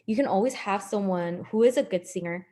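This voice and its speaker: A woman's voice: medium pitch, a little fast. Woman songwriter answering questions on a call. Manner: relaxed explanations with ums. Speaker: guest songwriter and topliner. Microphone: video call.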